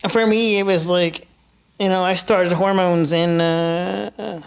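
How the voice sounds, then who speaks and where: A man's voice: high-pitched, steady tone, takes it slowly, creaky, clean tone. A man in his forties on a panel, telling stories with laughter and many hesitations. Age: 40s. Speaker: panel guest. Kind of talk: casual panel discussion.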